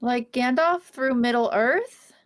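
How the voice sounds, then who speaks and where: Woman reading a goofy nerdy young woman, slightly high voice, playful. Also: slow. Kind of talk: amateur table read.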